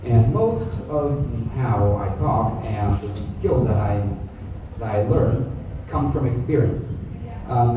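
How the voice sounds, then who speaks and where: A teenage boy answers candidly, padding his talk with fillers. His voice sits low in pitch for his age. It comes through thin and tinny, with heavy hall echo and a steady hiss. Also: recorded from a distance. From Vietnam. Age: teens. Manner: candid, with fillers like "you know". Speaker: contestant. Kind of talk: unscripted contest answers. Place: large echoey school hall. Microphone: far from the microphone.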